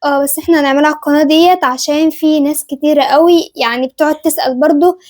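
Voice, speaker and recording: A woman's voice: high-pitched, fairly even intonation, racing, darkish tone. A woman in her late teens with an Egyptian Arabic accent, co-hosting a family vlog and chiming in with short remarks. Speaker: vlogger, channel co-host. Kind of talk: casual chat with viewers.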